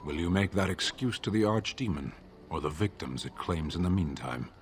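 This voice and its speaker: An actor playing a stern, sceptical, towering warrior in a fantasy video game, speaking in a stoic, blunt and challenging way. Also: male voice, low voice, normal speed, slight background noise, lightly acted.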